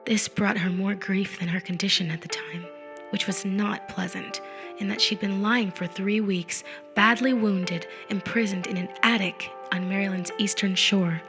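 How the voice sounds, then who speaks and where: A woman narrates a literary audiobook in a deliberate, expressive storytelling manner, with phrase endings that drop to a hush. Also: medium pitch. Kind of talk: dramatic literary audiobook reading. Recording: musical effects mixed under the voice. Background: music and effects.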